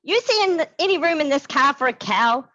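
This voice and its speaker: A woman's voice: sits high, lively intonation, brisk, sharp tone, dull audio, voice pushed up. A woman voicing a tough, decisive big sister urgently giving orders in a zombie story. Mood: angry, commanding. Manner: urgent, commanding.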